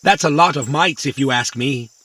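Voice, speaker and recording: A man's voice: mid-range voice, normal speed, bright, forceful tone, husky, no room sound. A man playing a naive, opinionated, literal-minded little robot in a children's audio drama. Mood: blunt, literal. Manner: literal-minded, matter-of-fact, cheeky.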